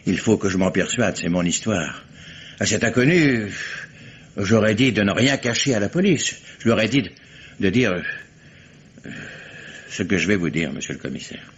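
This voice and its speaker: An actor playing a proud, grieving lawyer under police questioning, formal and hesitant, in a 1950s radio drama. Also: male voice, fairly low pitch, expressive, moderate pace, long pauses, husky. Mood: grim recounting. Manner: formal, hesitant with many fillers, flares into indignation. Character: proud grieving male lawyer, indignant suspect. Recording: muffled old radio broadcast.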